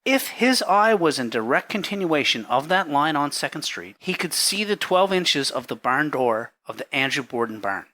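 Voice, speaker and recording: A man's voice: a little high, big pitch swings, bright, forceful tone. A man playing a careful, polite surveyor giving precise testimony in an 1893 trial drama. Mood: careful, matter-of-fact. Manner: measured, literal, polite. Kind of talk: dramatic courtroom reenactment.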